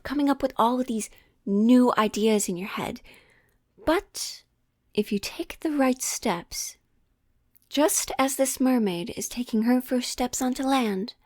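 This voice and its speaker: A woman playing a witch who reads tarot in an audio roleplay, mysterious and teasing, speaking deliberately and theatrically to the listener. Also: a little high, darkish tone, no room sound, studio quality. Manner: slow, deliberate, theatrical, with pondering pauses.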